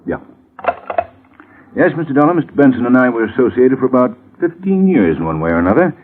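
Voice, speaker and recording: An actor playing a middle-aged lawyer in a vintage radio drama, glib and brisk, with a folksy, evasive way of talking. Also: male voice, medium pitch, big pitch swings. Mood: brisk, affable. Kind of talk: 1950s radio drama acting. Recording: muffled, hissy vintage radio recording.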